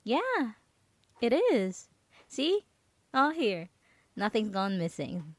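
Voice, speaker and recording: Possessive roommate, female woman acting: ASMR roleplay, unhurried and hesitant, falsely innocent and nervously sweet, very dry recording. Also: a little high, sing-song, no room sound, exaggerated voice. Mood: evasive, chirpy. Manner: halting, nervous, giggly, hesitant with many trailing pauses. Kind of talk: ASMR roleplay audio drama monologue.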